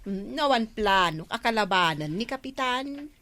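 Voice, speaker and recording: A woman's voice: fairly high pitch, expressive, normal speed, fairly bright tone. A woman with an Ilocano Filipino accent acting a gossipy, self-assured village woman in a radio comedy-drama.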